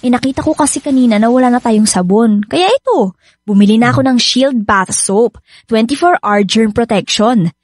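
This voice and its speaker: High-voiced gentle young wife. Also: female voice, animated, normal speed, no echo. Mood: reassuring. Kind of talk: Filipino radio drama dialogue. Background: faint music.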